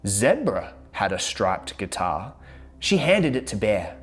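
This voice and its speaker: A woman hosting a children's read-aloud, telling a rhyming picture book in an expressive, dramatic way. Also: very deep voice, takes it slowly, slightly rough, faint music behind. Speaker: children's story reader and host.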